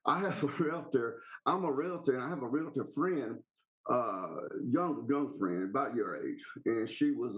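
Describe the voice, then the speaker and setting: A Southern-accented man who calls in to a podcast and holds forth in a casual, rambling way. His voice sits at an ordinary middle pitch. He talks bluntly, in plain folksy country phrases. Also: low-quality recording. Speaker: podcast caller. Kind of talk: casual opinionated chat.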